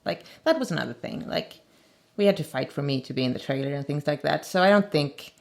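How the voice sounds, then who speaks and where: Swedish-accented woman, low and gravelly, friendly co-commentator who keeps agreeing. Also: lively intonation. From Sweden. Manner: chatty, quick to agree, laughs often. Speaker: actress and co-commentator. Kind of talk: casual commentary chat.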